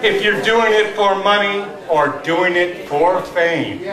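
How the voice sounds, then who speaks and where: An older man in his sixties gives a live poetry reading, with deliberate dramatic pauses and wry asides. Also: sits high, a crowd in the background. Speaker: poetry reader at an open mic. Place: small tavern stage indoors. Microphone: stand microphone, captured from far camera. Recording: roomy live tavern, distant mic, audience noise.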